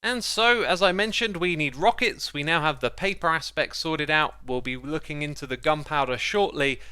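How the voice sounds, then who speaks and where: A man's voice: on the high side, moderate pace, pushed and bright, smooth, dry recording. A man giving casual, chatty gameplay commentary as a video host, with playful exclamations, close on the mic.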